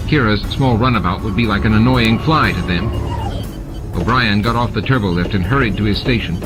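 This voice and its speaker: A man reading a science-fiction novel as dramatic scripted narration, with sound effects added. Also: lowish voice. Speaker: audiobook narrator. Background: music and sound effects.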